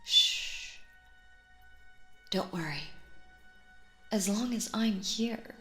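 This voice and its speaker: A woman acting out a sinister, possessive stage magician in an intimate audio roleplay, cooing endearments theatrically and slipping into breathy, menacing whispers. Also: medium pitch, very slow, bright, forceful tone, reverberant, faint music behind. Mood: soothing, possessive.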